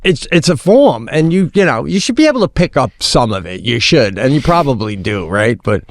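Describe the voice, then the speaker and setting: A man co-hosting a comedy podcast, telling stories in an animated way, swearing freely and restarting his sentences. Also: mid-range voice, fairly quick, no echo, clean studio sound. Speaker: podcast co-host. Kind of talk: casual comedic chat.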